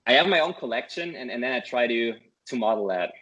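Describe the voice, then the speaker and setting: A man's voice: medium pitch, lively intonation, fast talker, bright, forceful tone, muffled recording. A man from Germany with a German accent, a non-native English speaker, earnestly sharing tips as an interview guest and often tagging "right?" onto his points. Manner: earnest, repeats words and adds "right?" often. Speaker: guest, course student. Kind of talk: casual interview over a call.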